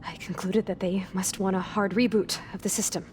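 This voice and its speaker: A woman acting a fragile, bookish young cloned woman in a video game, with halting, clinical, precise wording. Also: mid-range voice, level tone, soft background music, close to an everyday voice. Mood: earnest, uneasy.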